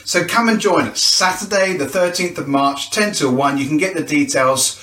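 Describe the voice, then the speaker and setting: A man's voice: fairly high pitch, normal speed, sharp tone, distant mic. A man giving an informal, chatty announcement for a church event, with rhetorical questions and a self-deprecating touch. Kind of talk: informal promotional video announcement. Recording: echoey, roomy sound.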